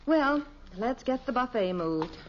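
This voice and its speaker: A patient radio sitcom mom, dry and knowing, slightly high voice, old dull recording. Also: female voice, expressive, unhurried, a little hiss or hum. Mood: practical. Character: patient, wry middle-aged suburban housewife mother. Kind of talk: 1950s radio sitcom acting. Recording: muffled vintage radio recording.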